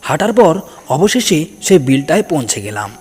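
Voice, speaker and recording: Male narrator for a cartoon horror tale, slightly high voice, swinging expressive delivery, cavernous echo. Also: moderate pace, husky. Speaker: storyteller narrating a first-person ghost tale. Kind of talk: dramatic scripted narration for a cartoon horror story. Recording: long cavernous reverb, music underneath. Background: background music.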